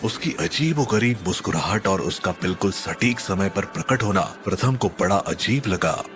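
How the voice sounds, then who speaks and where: A man's voice: deep voice, animated, normal speed. A man narrating a scripted horror story in a dramatic, suspenseful manner. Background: music.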